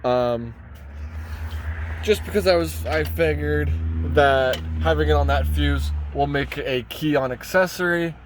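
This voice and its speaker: A man's voice: fairly high pitch, measured pace, noisy background. A man giving an informal hands-on tutorial inside a vehicle, rambling and thinking aloud as he goes. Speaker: vlogger presenting a truck radio install. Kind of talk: casual hands-on tutorial talk. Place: inside a parked pickup truck cab.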